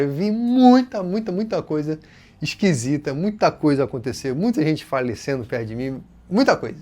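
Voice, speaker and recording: A man from Rio de Janeiro with a carioca accent, casually telling a personal story to his channel's viewers. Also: on the high side. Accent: Rio de Janeiro Portuguese. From Rio de Janeiro, Brazil. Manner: casual and expressive, voices others' words in quotes. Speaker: channel host.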